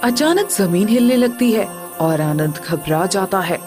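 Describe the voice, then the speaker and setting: A woman narrates a scripted moral story. Her medium-pitched voice rises and falls in a very lively way, with soft music underneath. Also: a little slow.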